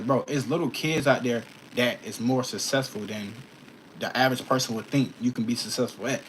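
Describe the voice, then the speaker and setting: A man's voice: medium pitch, fairly bright tone, a little hiss or hum, rough recording. A man in his early twenties in a casual livestream chat, answering questions in a slangy, emphatic way and often checking that listeners follow. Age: early 20s. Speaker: custom shoe artist answering viewer questions.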